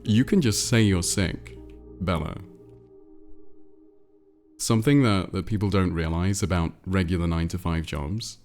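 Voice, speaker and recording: Male livestream host, low and creaky, stuffed-up nose, rambling casual chat, faint music behind. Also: measured pace, long pauses, darkish tone. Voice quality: nasal, congested. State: congested with a cold. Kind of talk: casual livestream chat, reading viewer messages aloud.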